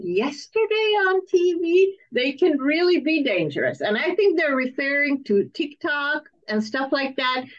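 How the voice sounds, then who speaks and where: A woman's voice: high voice, dull audio. A woman hosting a casual interview, asking questions and reacting in a conversational way.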